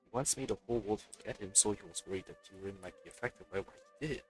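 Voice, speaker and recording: A man's voice: on the low side, quick pace, soft background music. A man giving casual commentary as he plays a game on a live stream. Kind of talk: casual let's play commentary.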